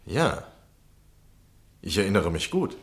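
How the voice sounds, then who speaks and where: Courteous gentleman journalist, low-pitched man, formal, flattering, vintage radio play. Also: slow pace, heavily acted. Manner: formal, gallant, polite. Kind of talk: 1960 German radio play acting.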